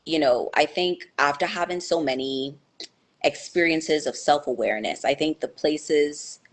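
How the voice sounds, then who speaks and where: A woman's voice: low-pitched, a little slow. A young woman answering interview questions in a thoughtful, hesitant way, with many fillers and restarts. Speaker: guest.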